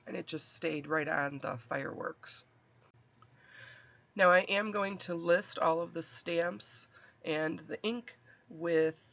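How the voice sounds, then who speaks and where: A nasal, congested man talks casually through a card-making demonstration and corrects himself as he goes. His voice sits a bit high with a gravelly edge. The recording sounds dull and lo-fi. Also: fairly bright tone. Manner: chatty, rambling, self-correcting. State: allergies, stuffy. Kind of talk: casual craft tutorial narration. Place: craft desk indoors. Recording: dull lo-fi audio.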